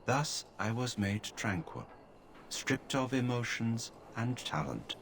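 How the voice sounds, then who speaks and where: An actor playing an emotionless male mage from a video game, who speaks in a calm, formal, flat way. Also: medium pitch, slow pace, creaky, slight background noise, full character voice. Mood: detached. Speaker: game character.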